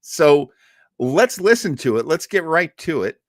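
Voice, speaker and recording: Expressive man hosting, dry close sound. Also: fairly high pitch, a little slow, no room sound. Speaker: host of a radio listening party. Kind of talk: casual live introduction to an old radio show.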